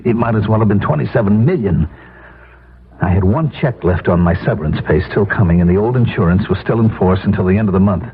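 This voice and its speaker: Male actor for desperate, ashamed jobless husband, middle-aged, low register, 1970s radio drama, narrow tinny sound. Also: fast, slight background noise, lightly acted. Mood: bitter, ashamed. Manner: confessional, tense narration and dialogue. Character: desperate, ashamed middle-aged out-of-work family man narrating his downfall. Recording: tinny 1970s radio broadcast, music behind.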